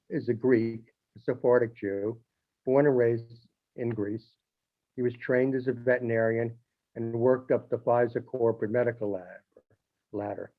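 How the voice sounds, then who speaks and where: A man who writes a newspaper column, speaking informally to the audience with restarts, self-corrections and wry jokes. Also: medium pitch. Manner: conversational, with restarts and wry asides. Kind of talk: informal monologue. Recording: phone-like narrow band.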